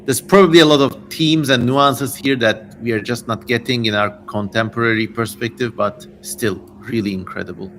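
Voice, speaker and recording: A man's voice: medium pitch, steady tone, normal speed, few pauses, fairly bright tone, faint music behind. A man hosting a livestream, commenting casually and irreverently, with tangents and occasional swearing. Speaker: livestream host and reader.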